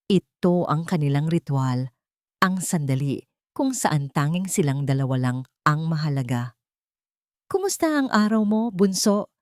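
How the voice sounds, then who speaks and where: Low-voiced Filipino woman narrating a story. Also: a little mellow, no echo, clean studio sound. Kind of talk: scripted dramatic narration of a romance story.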